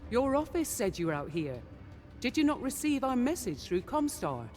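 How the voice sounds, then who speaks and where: An actress playing a businesslike, composed mercenary ship officer in a video game. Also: female voice, a little high, big pitch swings, moderate pace, darkish tone, soft background music. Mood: composed, serious. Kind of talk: cinematic game voice acting.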